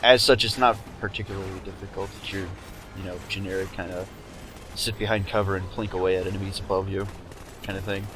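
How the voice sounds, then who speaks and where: Dry, teasing low-voiced man. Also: steady tone, quick pace. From United States. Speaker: let's play co-commentator. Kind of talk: casual gaming banter.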